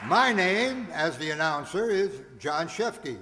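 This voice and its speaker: Older man, theatrical stage announcer, slow delivery, echoing hall with audience noise. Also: on the high side, big pitch swings, a crowd in the background. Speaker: announcer of a radio players group. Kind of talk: reading out cast credits on stage. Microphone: stand microphone on stage, recorded by audience camera.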